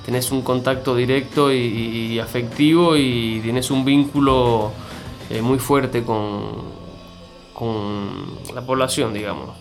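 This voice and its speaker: A man's voice: medium pitch, fairly even intonation, takes it slowly, faint music behind. A middle-aged man from Cuba with a mixed Cuban and Argentine accent, talking as an interview guest with many fillers and restarts. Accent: Cuban with Argentine mix. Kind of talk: conversational interview.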